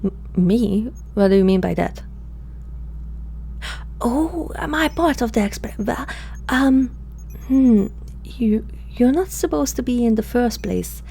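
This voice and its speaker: A woman acts out a curious, flirtatious female alien in an ASMR roleplay. Her voice is mellow and breathy, dropping often into whispers. She talks very slowly and hesitantly, over a faint noisy hum. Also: a little high, expressive, full character voice. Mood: flustered, hesitant. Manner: hesitant, halting, softly spoken, often slipping into whispers. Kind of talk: ASMR roleplay, intimate and close.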